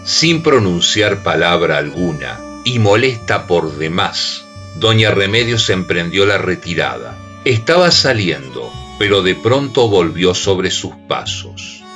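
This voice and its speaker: A man's voice: sits low, very animated, takes it slowly, few pauses, fairly bright tone, faint music behind. A man narrating a radio drama. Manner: dramatic storytelling.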